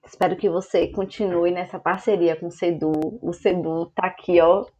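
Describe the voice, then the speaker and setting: A woman's voice: on the low side, steady tone, moderate pace, warm tone. A woman hosting an online seminar, effusive and thankful, with plenty of fillers. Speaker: seminar host. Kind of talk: closing remarks in an online seminar. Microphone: video call. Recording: lo-fi video call audio.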